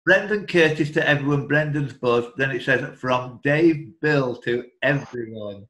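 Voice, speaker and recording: A man hosting a quiz on a group video call, reading questions in a deliberate way with informal banter. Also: mid-range voice, a bit bright. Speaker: quiz host and question setter. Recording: echoey room over video call.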